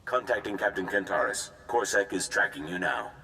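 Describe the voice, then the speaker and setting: A man playing a calm, efficient military communications dispatch machine in a video game, giving clipped, formal status announcements. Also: sits low, normal speed, vocal fry, a little hiss or hum, exaggerated voice. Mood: procedural. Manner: formal, procedural. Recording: echoey, loud music behind.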